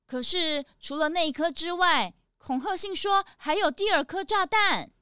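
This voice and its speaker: Woman as an excitable, very high-voiced girl. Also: normal speed, no echo, clean studio sound. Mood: alarmed. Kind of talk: children's detective radio drama acting.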